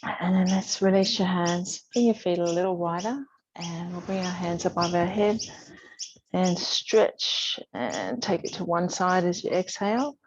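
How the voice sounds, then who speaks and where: Low-voiced woman guiding yoga, muffled sound. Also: breathy edge. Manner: soothing, unhurried guidance with pauses. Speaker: yoga teacher. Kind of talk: guided yoga class instruction.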